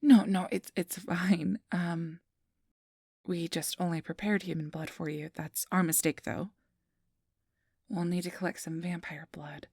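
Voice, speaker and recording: A woman playing a friendly, easily flustered registration clerk in a soft-spoken ASMR roleplay, gentle, hesitant and reassuring. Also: on the low side, steady tone, unhurried, breathy edge, dry recording, clean studio sound. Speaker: interviewer.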